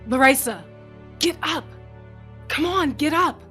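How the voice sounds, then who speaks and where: A woman's voice: sits high, lively intonation, takes it slowly, pushed and bright, faint music behind, full character voice. A woman playing a tough, protective pilot and loyal sister in a sci-fi audio drama, speaking in a blunt, clipped way. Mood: urgent.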